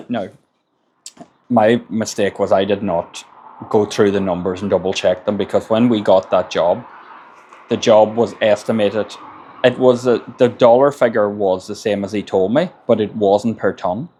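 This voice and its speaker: A middle-aged Irish man with a low voice talks through hard-won business lessons in a plain, casual way. He repeats words as he works out what to say next. Faint ambient noise sits under the recording. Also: unhurried, a little mellow, rough recording. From Ireland. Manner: plain-spoken, repeats words while gathering thoughts. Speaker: construction business owner. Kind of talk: casual advice monologue.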